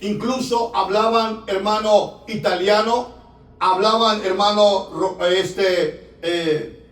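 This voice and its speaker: A man, a pastor, preaching a fervent sermon that rises to shouts, recorded in a church from off the mic. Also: high voice, steady tone, takes it slowly, distant mic. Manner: fervent preaching, rising to shouts, filler "hermano". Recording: echoey church room, voice from off-mic.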